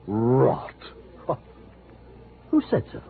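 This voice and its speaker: Gushing posh English gentleman swindler, swooping pitch. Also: wildly swooping pitch, drawn-out words, vocal fry, heavily acted. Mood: evasive. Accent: upper-class English. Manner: effusive, affected, prattling. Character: dapper, gushing, evasive British gentleman swindler. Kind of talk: 1950s radio drama acting. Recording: muffled old radio recording.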